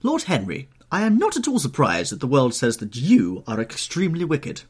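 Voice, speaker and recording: A man's voice: lowish voice, big pitch swings, a little fast, husky, heavily acted. A man voicing a witty, teasing older society lady in an audiobook, exclamatory, arch and playfully scolding. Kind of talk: audiobook character voice.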